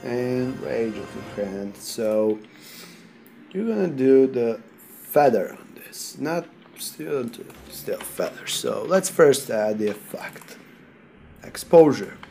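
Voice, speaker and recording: A man from Serbia with a Serbian accent, sick, talking through a software tutorial in halting non-native English while he clicks. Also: mid-range voice, lively intonation, vocal fry, faint music behind. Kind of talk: screen-recorded software tutorial.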